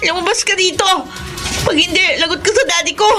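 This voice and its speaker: A woman's voice: very high voice, a little fast. An actress playing a frightened young woman in a radio drama, stammering and pleading. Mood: terrified. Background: background music.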